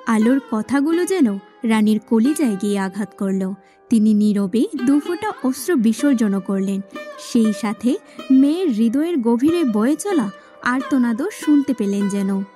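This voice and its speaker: Female storybook narrator: fairly high voice, dramatic scripted read, clear music bed underneath. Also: lively intonation, a little slow, warm tone.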